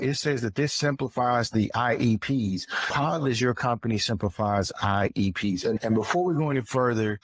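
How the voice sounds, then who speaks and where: A man hosting a podcast interview, energetic and welcoming as he introduces his guest. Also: lowish voice, no echo. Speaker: podcast host.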